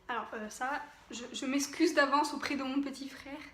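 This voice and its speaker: A woman's voice: high-pitched, a little slow, fairly bright tone, reverberant. A young woman vlogging to camera at home, chatting casually with many hesitations and little laughs. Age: young adult. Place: home room with television on behind.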